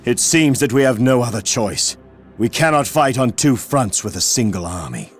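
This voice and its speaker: An actor playing an earnest, resolute young army commander in a fantasy game, speaking in a solemn, declamatory way. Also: male voice, mid-range voice, lively intonation, normal speed, faint music behind, close to an everyday voice. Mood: grave. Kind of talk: heroic fantasy game cutscene acting.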